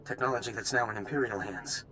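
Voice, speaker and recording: Casting: male actor as anxious, earnest army lieutenant for a sci-fi game, urgent delivery, mid-range pitch, long reverberant room. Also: fast, pushed and bright, lightly acted. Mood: tense. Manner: urgent, faltering, pleading. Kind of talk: scripted video game cutscene acting. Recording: long reverberant hall, faint background noise.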